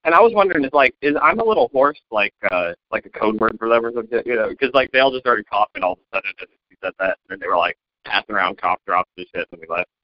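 A man co-hosting a podcast in casual chat, an animated storyteller who laughs often. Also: on the low side, fast talker, no room sound. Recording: dull lo-fi sound, dry.

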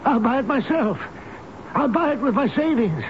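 An actor playing a gentle, loyal old elevator operator in a radio drama, speaking in a halting, earnest, folksy and tender way. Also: male voice, very high voice, expressive. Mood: pleading, distressed. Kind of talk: 1970s radio drama acting. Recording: noisy muffled old radio broadcast recording.

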